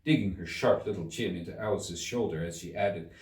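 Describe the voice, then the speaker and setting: A man reading a story aloud as an audiobook narrator, in an expressive scripted storytelling style. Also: low-pitched, brisk. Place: room inside a museum. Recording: roomy, echoey, distant from the mic.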